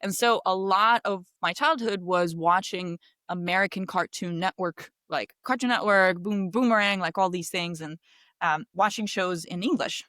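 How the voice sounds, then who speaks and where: A woman's voice: lowish voice, fairly bright tone, no echo, studio quality. A woman in her thirties from Kosovo whose first language is Albanian, speaking as a podcast guest in a reflective, casual way, often adding "like" and "right?". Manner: reflective, peppers speech with "like" and "right?". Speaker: podcast guest, game designer. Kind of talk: casual interview chat.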